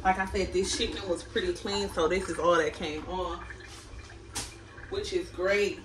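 A woman's voice: on the low side, fairly even intonation, measured pace, heavy background noise. Chatty woman talking to a kitchen camera. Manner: chatty, addresses viewers as y'all. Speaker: vlogger. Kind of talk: casual cook-with-me chat. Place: small home kitchen. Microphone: camera across the kitchen. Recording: roomy kitchen audio from a distant camera, running water.

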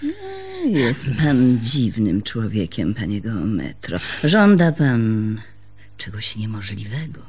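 An actress playing a shrewd, protective middle-aged innkeeper's wife in a radio play, emphatic and deliberate, with dramatic pauses. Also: female voice, very deep voice, expressive, darkish tone, a little hiss or hum, full character voice. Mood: condescending, explaining. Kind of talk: Polish radio play acting.

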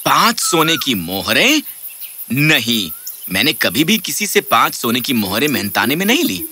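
A man voicing a humble, earnest working man in a children's cartoon fairy tale, polite and expressive. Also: medium pitch, a little hiss or hum. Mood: surprised, modest.

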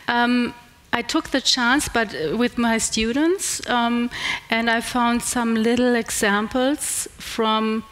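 A woman's voice: on the high side, fairly even intonation, slow, hardly any pauses, fairly bright tone. A middle-aged woman with a German accent, giving a conference talk and taking questions, hesitant with many fillers and restarts. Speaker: conference speaker. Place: large indoor stage. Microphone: headset.

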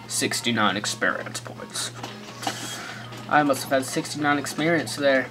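A man's voice: mid-range voice, takes it slowly, rough recording. A man with an American accent giving goofy, rambling let's play commentary full of sarcastic jokes. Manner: joking, rambling, sarcastic asides. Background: faint game music.

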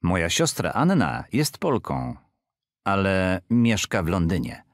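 A man with a lowish voice reads Polish course phrases carefully and clearly, one at a time. The recording is dry and close. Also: wildly swooping pitch, measured pace, no room sound. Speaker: Polish-side speaker of a language course. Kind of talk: slow scripted phrase reading.

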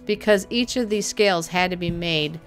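A middle-aged woman giving a casual, chatty product review from her workshop, upbeat and quick to laugh, with plenty of uh fillers. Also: fairly low pitch, over music. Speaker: prop maker reviewing a tool. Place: home workshop studio, indoors.

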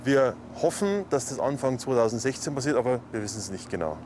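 Man in his fifties, interviewed outside, calm and factual, music bed. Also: medium pitch, quick pace. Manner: matter-of-fact, explaining. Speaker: charity board member. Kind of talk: documentary interview. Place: outdoors by parked cars.

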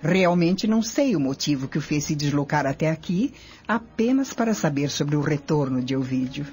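A woman's voice: low-pitched, animated, normal speed, a little mellow. An actress plays a haughty, cunning Roman noblewoman in a radio drama. She speaks with cool formality over faint music. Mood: coolly probing.

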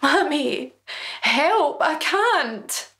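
A woman's voice: sits high, animated, drawn-out words, pushed and bright, pitched above the performer's own voice, exaggerated voice. A Scottish woman voicing a frightened, tearful young boy in a story reading. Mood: panicked, tearful.

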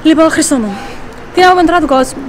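A woman's voice: sits high, slight background noise. A young woman with a Cypriot Greek accent, chatting casually as a vlog host while walking through a shopping mall. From Cyprus. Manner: lively, chatty, quick to laugh and correct herself. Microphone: handheld camera at arm's length.

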